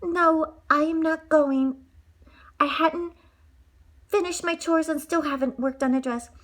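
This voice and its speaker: A woman voicing a sweet, gentle young heroine in a read-aloud storybook, in a soft, high voice. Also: steady tone, measured pace. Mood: sweet, hopeful.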